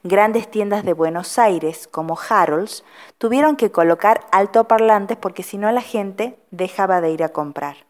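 A woman's voice: medium pitch, unhurried. A woman with an Argentine accent presenting an educational audio lesson like a teacher, putting rhetorical questions straight to the listeners. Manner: didactic, addresses listeners directly.

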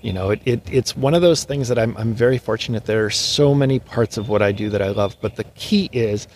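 A man's voice: fairly low pitch, brisk, a little mellow, a little hiss or hum. Thoughtful man giving advice. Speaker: musician guest. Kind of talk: casual interview chat.

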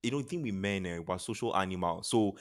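A Nigerian man speaks as an interview guest with a lowish voice. His delivery is earnest and motivational. He often restates a phrase to press the point. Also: animated, fast talker. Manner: earnest, repeats phrases for emphasis. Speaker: podcast guest, charity founder.

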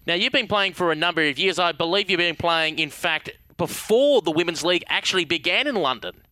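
A man's voice: on the high side, expressive, a little fast, sharp tone, no room sound. A man hosting a sports radio show, interviewing guests in a wordy announcer style.

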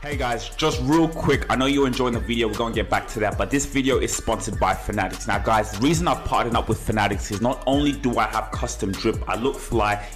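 A young man giving a casual, slangy sponsor pitch straight to his online viewers. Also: fairly quick, hardly any pauses, over music. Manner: slangy, direct address to viewers. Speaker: online video host reading a sponsor spot.

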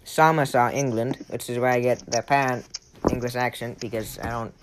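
A young man with a Maine accent hosts a casual chat with friends. He rambles, cracks jokes and laughs often. There is a clear hiss of noise under the whole home recording. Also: medium pitch, fairly even intonation, fairly quick. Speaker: podcast host.

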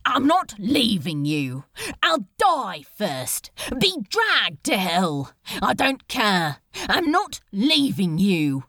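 A woman reader acts out a male demon in a fantasy story, defiant and full of anguish. The delivery is insistent and emphatic, with a lively pitch range. The sound is very dry. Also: takes it slowly, no room sound, full character voice. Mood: desperate defiance. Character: defiant, anguished male demon refusing to leave his beloved.

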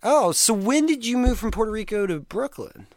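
A man's voice: sits high, lively intonation, moderate pace, a little mellow, no room sound, clean studio sound. A man hosting a podcast, crude and joking, laughing often in casual chat. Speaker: podcast host.

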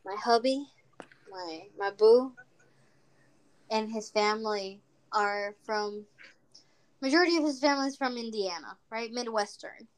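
Woman co-host with a Venezuelan Spanish accent, native Spanish speaker, slightly high voice, rambling story-driven chat. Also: a little slow, long pauses, smooth, poor audio. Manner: chatty storyteller, lots of fillers. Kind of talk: casual chat.

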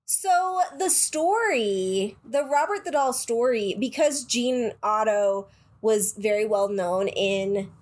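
A woman talks casually with a co-host, her voice stuffy and nasal from being sick. Her pitch sits a little high for a woman, and she is lively and swears easily. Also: slow pace. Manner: swearing, animated, acts out quoted voices. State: nasal from a cold. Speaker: podcast co-host. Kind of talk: casual chat.

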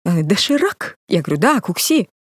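Brisk, chatty woman from Kamchatka. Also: mid-range voice, very animated, no echo, clean studio sound. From Kamchatka, Russia. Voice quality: clear, ringing voice. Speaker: guest. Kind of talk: casual interview chat.

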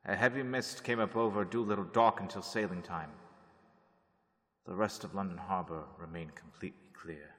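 A man playing a diarist who reads dated journal entries and reports in a measured, matter-of-fact way in a radio drama. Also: deep voice, steady tone, fairly bright tone. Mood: grim. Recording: long reverberant room.

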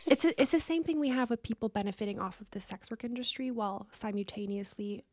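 A woman with a fairly high voice in a dry recording. She talks as a podcast co-host in casual comedic chat, playful, opinionated and full of exclamations. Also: quick pace, darkish tone, dry recording.

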